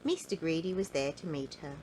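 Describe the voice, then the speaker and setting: A woman reading a children's storybook in an animated, playful way, drawing out key words for emphasis. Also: sits low, brisk, slight background noise, poor audio. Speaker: storybook reader.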